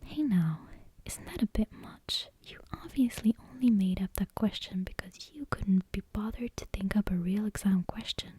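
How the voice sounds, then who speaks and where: A woman voicing a witch teacher's skeptical friend from a storybook, gently scolding in a questioning whisper. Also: mid-range voice, moderate pace, slightly rough. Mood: skeptical, chiding.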